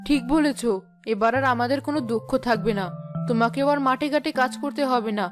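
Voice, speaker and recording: A woman's voice: fairly high pitch, a little fast, lightly acted. An actress playing a practical, anxious mother in a poor village household, in a cartoon story. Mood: relieved. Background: music.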